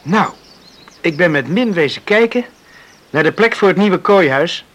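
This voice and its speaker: A man's voice: high voice, lively intonation, a little slow, fairly bright tone, heavily acted. An actor playing an eager, caring young countryman in a Dutch radio drama, speaking earnestly and solicitously. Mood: tender, caring.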